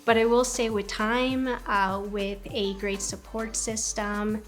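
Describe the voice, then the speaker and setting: A woman's voice: medium pitch, little pitch movement, slow pace, soft background music. A woman in her twenties, from Ecuador, giving a reflective, earnest documentary interview as a graduate student, with frequent ums. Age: 20s.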